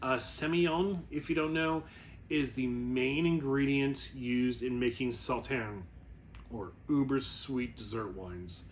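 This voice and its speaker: A man's voice: mid-range voice, slow pace, a little hiss or hum. A man presenting a wine review in a casual, rambling explainer style, often correcting himself as he goes. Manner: informal, rambling, self-correcting.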